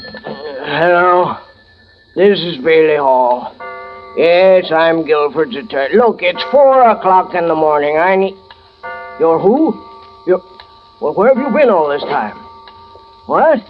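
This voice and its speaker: An actor playing an urgent, earnest defense attorney in a vintage radio drama, clipped and insistent in delivery. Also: male voice, fairly high pitch, expressive, takes it slowly, long pauses, faint music behind. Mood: urgent, impatient. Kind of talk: 1940s-50s radio drama acting. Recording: muffled old radio recording.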